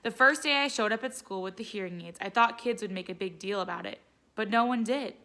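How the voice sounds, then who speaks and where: Bright-voiced woman reading a children's story. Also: mid-range voice, a little fast. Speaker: teacher reading aloud. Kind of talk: expressive children's read-aloud narration.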